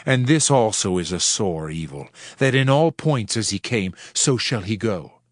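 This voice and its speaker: A man giving a dramatized scripture reading in a solemn, measured, rhetorical delivery. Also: on the low side, animated, no room sound, clean studio sound. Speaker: scripture narrator. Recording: background music under the voice. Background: music.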